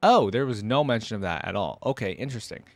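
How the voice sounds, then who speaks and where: A man's voice: fairly low pitch, expressive, fast, no echo. A man hosting a reaction video with casual, informal commentary, musing aloud into a close microphone.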